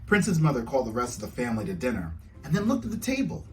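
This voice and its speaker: Animated man reading aloud to children. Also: medium pitch, quick pace, recorded from a distance, faint music behind. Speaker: children's author reading own book. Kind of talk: storybook read-aloud for children.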